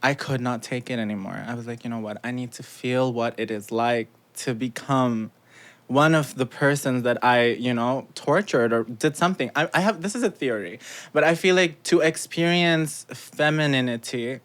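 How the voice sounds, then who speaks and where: Young adult woman, podcast guest, animated, laughs a lot, boom mic, small studio. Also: moderate pace. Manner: animated, laughs often, thinking aloud. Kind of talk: casual conversation. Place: small studio room with brick wall. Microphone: studio mic on boom arm, headphones.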